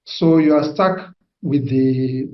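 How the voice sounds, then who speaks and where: A middle-aged man from Zimbabwe, giving a personal testimony, hesitant and full of pauses. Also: on the high side. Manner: hesitant, with frequent pauses and fillers. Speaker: testimony giver. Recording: dull, roomy sound.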